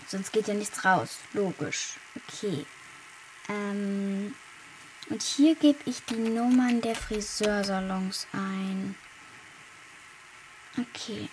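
High-voiced earnest young girl. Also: noisy background. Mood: unsure, muttering. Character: earnest, unsure, plucky young girl heroine. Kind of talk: children's radio play acting.

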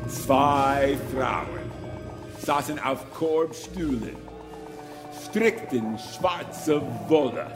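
A man giving a slow, dramatic recitation with a drawn-out, expressive delivery. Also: medium pitch, reverberant, big-room sound. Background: music.

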